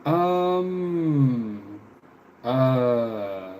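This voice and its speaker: A young man in his twenties talks casually on a live stream at an unhurried pace. He is halting and reflective, often letting sentences trail away. There is faint noise behind his voice. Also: medium pitch, animated, a little mellow. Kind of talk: casual live stream chat answering viewers. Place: room with window blinds, indoors.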